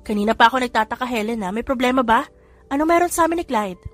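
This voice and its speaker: A woman's voice: fairly high pitch, animated, fast talker, soft background music. A woman voicing an earnest young mother of triplets who speaks in sincere, questioning tones.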